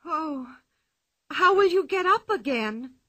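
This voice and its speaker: A woman's voice: high-pitched, expressive, slow, sharp tone, voice pushed up, full character voice. A woman voicing a timid, anxious young girl in a storybook reading, in a raised voice.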